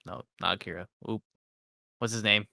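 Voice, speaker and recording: A man co-hosting a casual podcast, speaking in a halting, slangy way. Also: on the low side, fairly even intonation, gravelly, bright, forceful tone. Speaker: podcast co-host.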